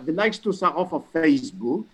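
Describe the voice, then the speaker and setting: A man's voice: on the high side, sing-song, moderate pace, fairly bright tone. A man in casual conversation who speaks insistently and keeps stressing that something is important, breaking off and restarting his sentences.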